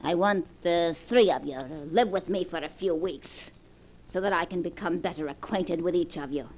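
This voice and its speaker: A woman's voice: fairly low pitch, normal speed, lightly acted. A woman playing a rich old grandmother in a 1940s radio drama, dry, withering and imperious, on an old recording. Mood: amused, commanding.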